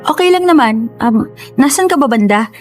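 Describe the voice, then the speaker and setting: A woman's voice: sits high, lively intonation, normal speed, over music. A woman playing a coy, playful young woman in a Tagalog radio drama, chatting in a teasing, protesting way. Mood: light, friendly. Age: young adult.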